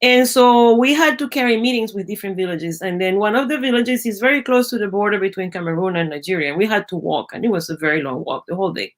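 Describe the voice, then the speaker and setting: A middle-aged woman from Venezuela with a Venezuelan Spanish accent, a native Spanish speaker and biologist, telling stories in a lively interview, acting out quoted voices and often restarting her phrases. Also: medium pitch, fast talker, hardly any pauses. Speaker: guest, biologist. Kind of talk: conversational storytelling interview.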